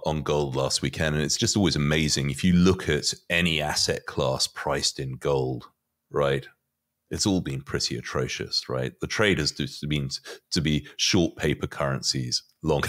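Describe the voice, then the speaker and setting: A middle-aged man giving conversational market commentary as a guest, often halting and repeating words as he thinks. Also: rumbling low, fairly bright tone. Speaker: podcast guest, finance commentator. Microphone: podcast mic on boom arm.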